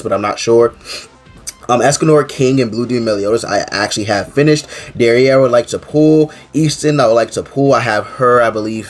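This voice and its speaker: A young man with a stuffy nose from a cold, chatting casually about a mobile game in a rambling, filler-heavy way. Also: on the low side. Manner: rambling, filler-heavy, keeps saying "to be honest". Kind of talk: casual game commentary to camera.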